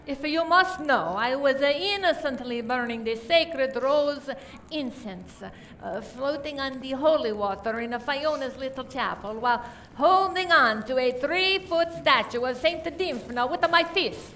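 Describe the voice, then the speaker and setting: Through clear background noise comes a woman acting a volatile murder suspect in a radio play. Her voice is very high and piercingly bright. She is indignant and forceful, with a dramatic delivery. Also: expressive, unhurried, heavily acted, rough recording. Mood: defensive, indignant. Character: volatile, indignant French woman suspect, loyal grieving friend.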